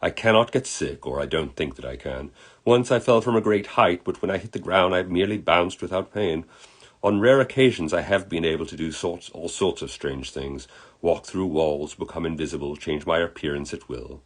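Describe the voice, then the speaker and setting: Storybook role: man playing courteous British dead gentleman, wistful and musing, slightly rough-edged voice. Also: low voice, normal speed. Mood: earnest, reassuring. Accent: British, put on. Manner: reflective, courteous, eloquent. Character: genteel, wistful British dead man, friendly spirit in human body.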